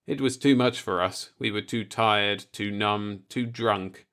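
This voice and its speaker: A man's voice: lowish voice, measured pace, a bit bright, smooth. A man reading a short story aloud as a scripted audiobook narration.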